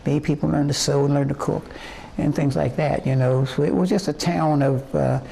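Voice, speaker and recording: A man in his eighties from West Virginia, a singer telling his life story in an interview in a halting, rambling way full of fillers and restarts. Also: a little high, fairly even intonation, creaky, warm tone, low-quality recording. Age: 80s. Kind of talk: reminiscing interview answers.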